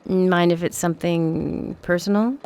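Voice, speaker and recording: An American woman playing a sincere, candid, soft-hearted young woman in a video game drama. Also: lowish voice, fairly even intonation, a little slow. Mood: curious, tentative. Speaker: voice actor in a game. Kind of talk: video game cutscene dialogue.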